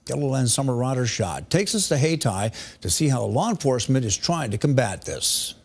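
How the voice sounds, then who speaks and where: A man's voice: medium pitch, very animated, a little fast, breathy edge. An American man reading the news as a television anchor.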